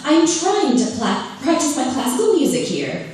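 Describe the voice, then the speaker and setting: A prim, haughty female pony musician, as a woman puts her on, scolding in a fussy, exasperated tone. The voice is lively and rises and falls a lot. It sounds distant, in an echoey hall with noise behind it. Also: high-pitched, moderate pace. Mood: irritated, prim. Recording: echoey hall from far off, noisy.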